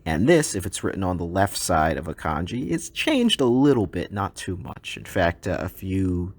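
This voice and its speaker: Deep-voiced man teaching with ums. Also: moderate pace. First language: English. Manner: relaxed explaining with frequent ums and uhs. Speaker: language teacher. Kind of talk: recorded slide lesson.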